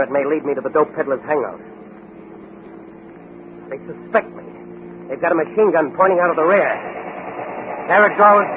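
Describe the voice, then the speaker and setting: An actor playing a bold, swaggering young crime-fighting hero in theatrical 1940s radio style, on a scratchy, tinny old recording. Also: male voice, on the high side, close to an everyday voice. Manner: theatrical, brash, declamatory.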